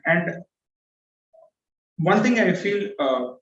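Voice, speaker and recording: A young man whose first language is Tamil talks through a prepared speech, stalling on fillers and restarting words. His voice sits a little high and comes across far from the mic in an echoey room. The video call audio is muffled and dull. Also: animated, very slow, fairly bright tone. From India. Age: young adult. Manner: hesitant, frequent uh fillers and restarts. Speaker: club member giving first speech.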